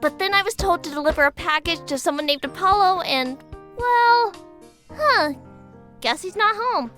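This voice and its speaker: A woman voicing a cheerful, naive ten-year-old girl in a perky, earnest, expressive cartoon parody dub. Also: very high-pitched, normal speed, faint music behind, heavily acted. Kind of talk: animated parody dub acting.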